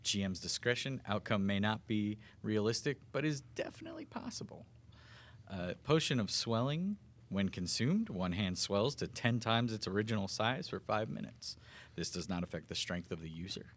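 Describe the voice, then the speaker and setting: A man's voice: lowish voice, expressive, moderate pace. A man playing a tabletop game, chatting casually and reading out item descriptions.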